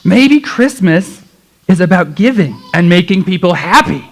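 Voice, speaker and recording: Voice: medium pitch, sing-song, a little slow. A performer voicing puppet characters in a children's church Christmas show, exclaiming and addressing the children in an exaggerated way. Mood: earnest.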